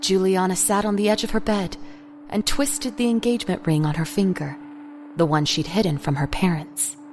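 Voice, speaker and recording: A woman's voice: mid-range voice, fairly even intonation, normal speed, a little mellow, slight background noise. A woman reading scripted third-person story narration for an audio drama. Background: music.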